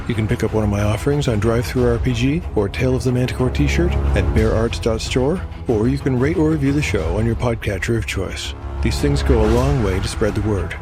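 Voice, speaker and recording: A man narrating from a script as host, in a measured storytelling manner. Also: medium pitch.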